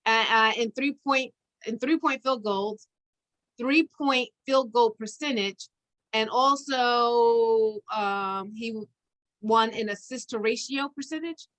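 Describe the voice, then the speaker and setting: A woman hosting a conversational interview, chatty and halting as she searches for her words. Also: fairly high pitch, a bit bright. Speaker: interview host. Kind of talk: conversational interview with an informal guest introduction.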